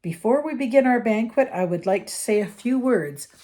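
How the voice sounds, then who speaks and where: A woman's voice: on the high side, expressive, moderate pace, mellow, pitched above the performer's own voice, close to an everyday voice. A woman voicing a whimsical elderly male headmaster giving a grand, playful welcome in an audiobook. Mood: cheerful. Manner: grand, cheerful, playful speech.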